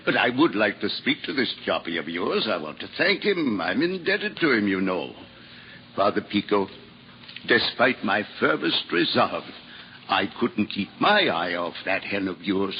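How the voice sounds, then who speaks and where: A man's voice: on the low side, sing-song, a little slow. A man in a 1950s radio drama playing a wry, teasing priest with a weakness for food. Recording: lo-fi old radio broadcast, faint hiss.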